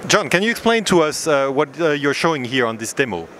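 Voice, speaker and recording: A middle-aged man interviewing in a halting, hesitant way at a trade show, speaking into a handheld microphone. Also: on the high side, very animated, fairly bright tone. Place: busy exhibition hall booth. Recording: noisy trade fair hall ambience.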